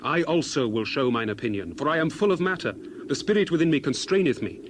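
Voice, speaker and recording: A man's voice: a little high, lively intonation, fast talker, noisy background, lightly acted. A man playing an indignant, earnest young man in dramatized scripture, speaking in emphatic, oratorical archaic phrases. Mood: fervent, insistent.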